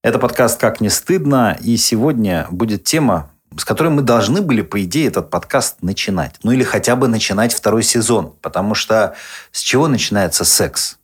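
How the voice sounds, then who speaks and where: A forty-year-old man hosts a podcast in a somewhat low, husky voice. His intonation moves around a lot, and he talks in a casual, conversational style. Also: animated, brisk. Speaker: podcast host.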